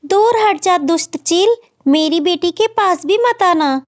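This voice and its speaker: A woman voicing a gentle, devoted mother bird in a cartoon, speaking with tender, earnest pleading. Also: very high-pitched, animated, slow, pitched above the performer's own voice, heavily acted. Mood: defiant, desperate. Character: gentle, self-sacrificing mother bird, devoted and long-suffering. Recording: dull, muffled lo-fi audio.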